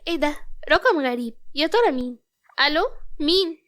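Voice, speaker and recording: A woman's voice: high voice, expressive, brisk, a bit bright, voice pushed up. A woman voicing a sassy, guarded young woman in a romance story, teasing, with an Egyptian accent. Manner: teasing, challenging.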